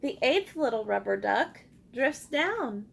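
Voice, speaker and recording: Female children's read-aloud teacher: high, creaky voice, unhurried pace, encouraging and expressive delivery. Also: slight background noise. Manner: encouraging, expressive, addresses young children with simple questions. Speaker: teacher reading a picture book aloud. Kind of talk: interactive children's read-aloud with call-and-response prompts.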